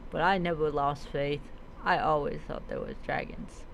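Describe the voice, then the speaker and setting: A woman telling a story in character, reading aloud haltingly with reminiscing asides. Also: deep voice, fairly even intonation, gravelly, a little hiss or hum, low-quality recording. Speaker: roleplay streamer.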